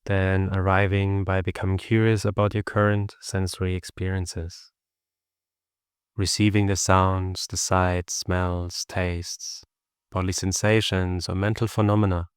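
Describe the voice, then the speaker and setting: Male meditation guide, deep clear resonant voice, gentle instructive delivery with long pauses. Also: little pitch movement, dry recording, studio quality. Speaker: meditation teacher.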